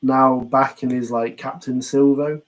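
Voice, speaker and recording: A man's voice: mid-range voice, flat delivery, a little slow. A man with a British accent co-hosting a podcast, casually recapping a series and acting out bits of dialogue as he goes. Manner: chatty, acts out quoted lines in passing. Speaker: podcast co-host.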